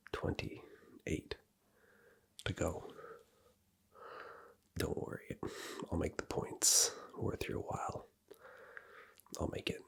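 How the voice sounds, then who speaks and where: Man, ASMR roleplay: breathy, husky, bossy, teasing whisper up close. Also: low-pitched. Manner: commanding and teasing, giving orders and points.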